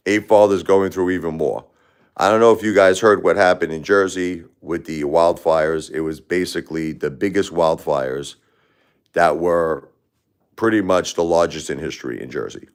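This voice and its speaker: Deep-voiced New man, rambling livestream talk. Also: smooth. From Brooklyn, New York. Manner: rambling, repeats words and restarts, addresses chatters by name. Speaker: livestream host. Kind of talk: casual livestream chat with viewers.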